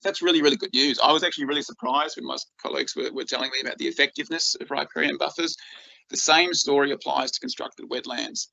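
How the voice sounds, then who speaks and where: A man's voice: mid-range voice, fairly even intonation, fast, gravelly, pushed and bright, no echo, lo-fi sound. A man with an Australian accent giving a conference talk as a researcher, enthusiastic and full of ums and repeated words. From Australia, rural northeast Victoria.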